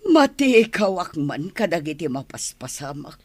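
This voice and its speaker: A woman playing a calm, mature village confidante in an Ilocano radio drama, measured and explaining. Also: low-pitched, no echo. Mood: calm, defensive.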